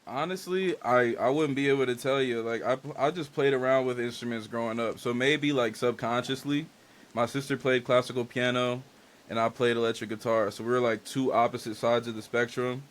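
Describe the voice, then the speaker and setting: A man's voice: medium pitch, fairly even intonation, fairly quick. A young man whose first language is Spanish, a music artist and engineer, talking loosely about his influences in a casual interview and leaning heavily on "like" and slang. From Dominican and Haitian family. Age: young adult. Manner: loose and candid, heavy on "like" and slang.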